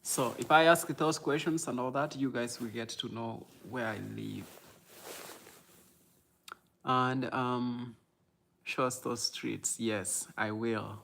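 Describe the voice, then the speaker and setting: Creaky-voiced Kenyan man chatting casually. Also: medium pitch, fairly even intonation, slow, pauses often, fairly bright tone, a little hiss or hum. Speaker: content creator and livestream host. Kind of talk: casual livestream chat answering viewers.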